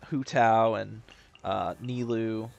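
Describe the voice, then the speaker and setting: A man's voice: fairly low pitch, fairly even intonation, slow, creaky, darkish tone, highs cut off. A young man casually commenting while playing a video game, rambling and chatty with many restarts. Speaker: gaming video host.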